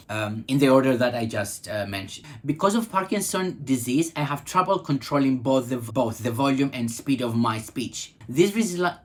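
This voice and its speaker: Man, 40s, home video host reading aloud with stumbles and restarts, close laptop mic. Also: medium pitch. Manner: reads aloud with frequent restarts and self-corrections. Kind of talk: reading out benefit form guidance. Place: living room at home, indoors.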